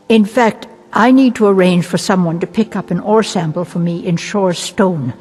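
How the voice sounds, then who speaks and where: An actress playing a put-upon, plain-spoken apothecary assistant in a video game, earnest and a little weary. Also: female voice, mid-range voice, dark tone, husky, long reverb tail. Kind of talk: video game character dialogue.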